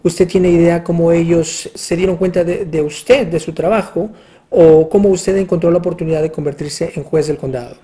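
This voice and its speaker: A mellow, relaxed interviewer with a mid-pitched voice, asking long questions at a measured pace and hesitating with fillers along the way.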